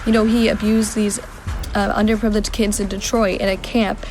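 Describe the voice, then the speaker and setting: A woman's voice: medium pitch, level tone, brisk, a little mellow, soft background music. A woman giving an earnest testimony to camera, loose with fillers.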